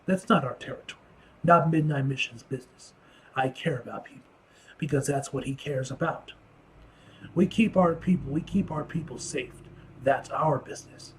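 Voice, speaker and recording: A man voicing a fiercely loyal, grim, plain-spoken enforcer from a comic book, in an earnest, halting delivery. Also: fairly high pitch, slight background noise, lightly acted, low-quality recording. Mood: grave.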